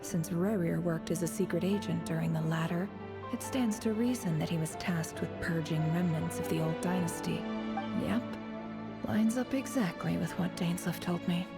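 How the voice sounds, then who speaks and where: A woman voicing a cool, confident businesswoman investigator in a video game, composed, deliberate and breathy at times. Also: on the low side, over loud music. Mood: analytical.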